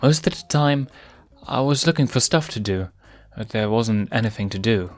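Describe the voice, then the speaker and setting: A man voicing a young female lion cub in a storybook, friendly, gentle and curious. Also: medium pitch, expressive, moderate pace, voice pushed up, lightly acted. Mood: reflective.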